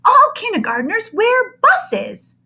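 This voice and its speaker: Bright, very high, sing-song teacher who gushes over little kids, read by a woman. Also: wildly swooping pitch, takes it slowly, gravelly, heavily acted. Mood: gushing. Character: gushing cheerful woman kindergarten teacher.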